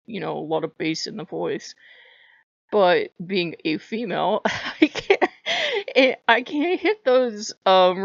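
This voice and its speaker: Woman with a high voice, talks to camera casual and rambly, laughs a lot, bit of fry, dry close sound. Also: no echo, muffled recording. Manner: chatty, rambling, laughs often. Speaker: spiritual vlogger.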